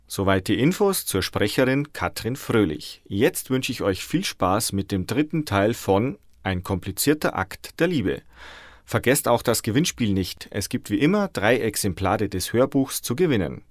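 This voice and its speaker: A man's voice: lowish voice, very animated, moderate pace, no echo, studio quality. A man hosting a podcast, presenting scripted announcements to his listeners. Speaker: podcast host.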